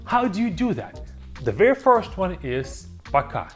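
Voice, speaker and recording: An auto-dubbed teacher's voice walks through beginner phrases, repeating each word slowly. The pitch moves in a lively way, and faint music plays underneath. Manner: slow, repeats words for learners. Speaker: language teacher. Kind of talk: scripted lesson narration.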